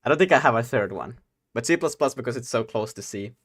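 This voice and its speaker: Young guy rambling to his stream chat, laughs a lot, mid-pitched voice. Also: expressive, quick pace, a bit bright. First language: Swedish. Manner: giggly, rambling, talks to chat. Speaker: game dev streamer. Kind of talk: casual live-stream chat.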